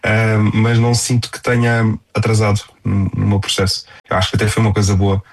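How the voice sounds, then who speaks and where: A man's voice: fairly low pitch, monotone, fairly quick. A young man with a European Portuguese accent, chatting casually as a singer-songwriter in an interview.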